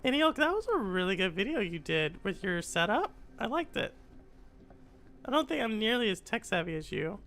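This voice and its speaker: Raspy, congested game streamer. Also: medium pitch, sing-song, moderate pace, fairly bright tone, slight background noise. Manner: chatty, mutters aloud while searching. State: congested from a cold. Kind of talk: live gameplay stream with chat.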